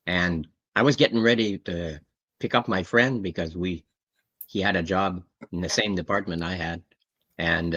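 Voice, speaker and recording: A man's voice: low-pitched, a bit bright, breathy edge. A man, telling a story in rambling, casual chat with easy swearing. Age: 60s. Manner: rambling, swears casually, acts out quoted voices. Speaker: guest on comic livestream. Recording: muffled lo-fi call audio.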